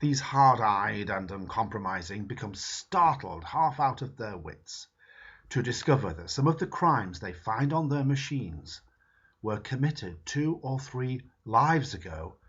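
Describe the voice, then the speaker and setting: A man reading a book foreword aloud in a measured, emphatic way. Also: fairly low pitch, breathy edge. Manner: measured, emphatic reading with lively rises and falls. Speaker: reader. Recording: dull lo-fi recording.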